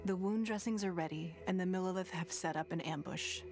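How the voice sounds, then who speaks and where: A woman's voice: on the low side, a little fast, music in the background, lightly acted. An actress playing a composed, formal woman who leads a fantasy city in a video game. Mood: calm, wise. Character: poised, wealthy, authoritative lady leader of a fantasy city.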